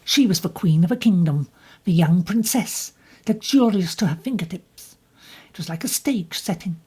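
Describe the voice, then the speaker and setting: Passionate bitter young woman, pushes every word, kinda husky, woman doing her. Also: on the low side, expressive, moderate pace, a little mellow, pitched above the performer's own voice. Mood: impassioned. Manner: intense, pleading, emphatic.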